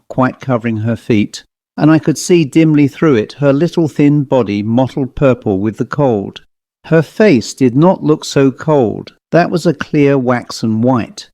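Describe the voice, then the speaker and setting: A man's voice: medium pitch, warm tone, no room sound, clean studio sound. A man narrating a first-person ghost story as a scripted audiobook reading.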